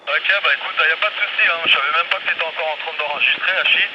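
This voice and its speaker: A man's voice: fairly high pitch, very fast, highs cut off, noisy background. A man from France chatting casually through a walkie-talkie radio, often repeating himself and adding tag words. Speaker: amateur radio operator. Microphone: handheld radio, heard through receiver.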